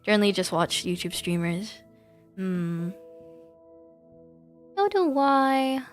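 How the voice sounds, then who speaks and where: A woman's voice: mid-range voice, very animated, takes it slowly. A woman chatting casually on a gaming livestream in a giggly, rambling way. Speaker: live streamer. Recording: music behind the voice. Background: background music.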